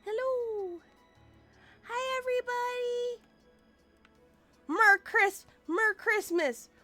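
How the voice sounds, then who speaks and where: A woman's voice: very high-pitched, drawn-out words, pushed and bright, no room sound. Playful vtuber lady with a stuffy nose, chatty, faint music behind. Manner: bubbly, playful, chatty with viewers. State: nasal congestion, sniffly. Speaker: VTuber game streamer. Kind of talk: casual game streaming chat.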